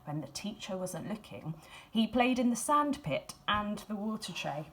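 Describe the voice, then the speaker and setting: A woman reads a children's storybook aloud expressively, in a measured storytelling manner with dramatic emphasis and hushed phrases. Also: mid-range voice, animated, rough recording. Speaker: school staff member reading a picture book aloud.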